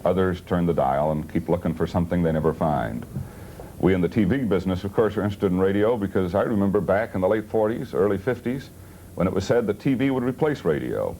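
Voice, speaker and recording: Male TV talk host, low pitch, mellow tone, folksy and jocular, hissy old broadcast audio. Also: quick pace, low-quality recording. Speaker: television talk show host. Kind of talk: casual talk show introduction. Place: television studio.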